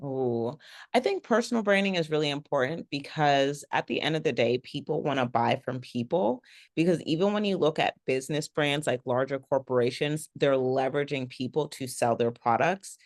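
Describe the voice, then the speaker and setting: A woman business coach speaking as a podcast guest, enthusiastic and animated, her voice a little raspy after a long event. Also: sits low, no room sound, studio quality. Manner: animated, enthusiastic, quick to explain. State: slightly raspy after a three-day event. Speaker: guest, personal branding coach. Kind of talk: podcast interview conversation.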